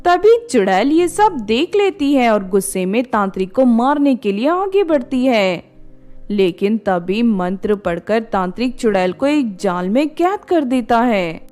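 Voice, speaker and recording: A woman's voice: fairly high pitch, very animated, measured pace, a little mellow, soft background music. A woman reading a scripted children's story as its narrator.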